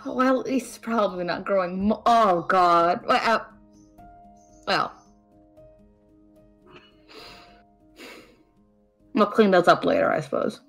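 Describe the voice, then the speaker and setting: A woman's voice: medium pitch, unhurried, fairly bright tone, faint music behind. A woman playing a brainy, caring and exasperated female friend in a conversational audio drama, wry and fretful. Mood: resigned, wry.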